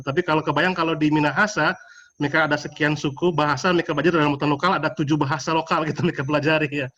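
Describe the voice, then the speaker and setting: A man's voice: fairly high pitch, fairly bright tone. A man from Indonesia moderating a webinar in a casual way over a video call. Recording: dull, lo-fi online call audio.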